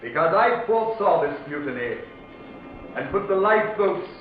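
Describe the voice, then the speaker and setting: A man's voice: high-pitched, animated, normal speed, narrow, tinny audio. An actor playing a grave, bitter ghostly sea captain guarding his treasure, solemn and commanding in old-time horror radio style. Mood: bitter, grim. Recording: band-limited old radio, echoey, distant, music underneath.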